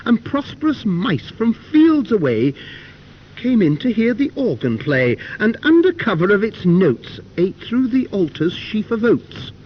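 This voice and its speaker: Man reciting as a prim, animated little church mouse. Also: sits high, breathy edge, pitched above the performer's own voice, heavily acted. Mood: indignant. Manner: prim, indignant, measured verse delivery. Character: fussy, pious, aggrieved male church mouse. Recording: dull, faintly noisy cassette recording.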